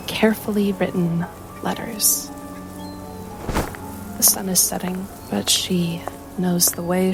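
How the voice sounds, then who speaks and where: Slow, solemn woman storyteller. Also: lowish voice, rough recording. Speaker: tabletop game player. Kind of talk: dramatic in-character narration.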